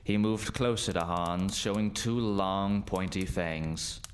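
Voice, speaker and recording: A man narrating a spooky radio play in a measured, dramatic storytelling style. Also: low voice. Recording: faint background noise.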